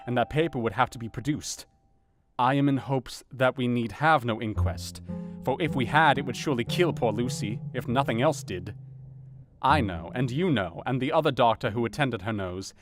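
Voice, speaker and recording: An actor playing a grave, conscientious doctor, narrating his own account in a measured, formal, sombre way in an audio drama. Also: medium pitch, natural voice. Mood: practical, urgent. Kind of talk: dramatized first-person narration.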